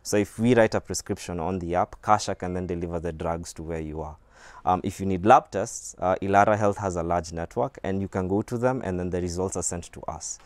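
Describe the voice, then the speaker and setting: Brief: Kenyan man, 30s, very low voice, dry close sound, doctor guest, steady and explanatory. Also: no echo. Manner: measured, explanatory, with frequent "um"s. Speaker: medical doctor, app company guest. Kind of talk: studio TV interview. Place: TV studio set.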